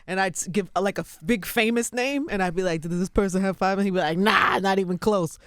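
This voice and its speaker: A woman's voice: medium pitch, quick pace, background noise. A woman in her forties hosting a radio interview, playful and teasing, laughing often. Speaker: host. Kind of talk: casual interview.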